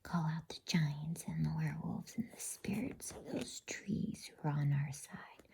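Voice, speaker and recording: A woman voicing a cruel, commanding witch queen in a breathy storybook whisper. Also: low-pitched, lively intonation, a little slow, mellow, full character voice. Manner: commanding, cold.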